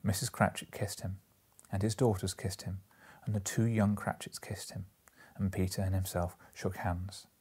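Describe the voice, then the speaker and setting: Male storybook narrator, forties, very low and husky, solemn measured delivery. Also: level tone, a little mellow. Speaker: storybook reader. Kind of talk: dramatic literary narration. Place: small indoor room, seated in an armchair.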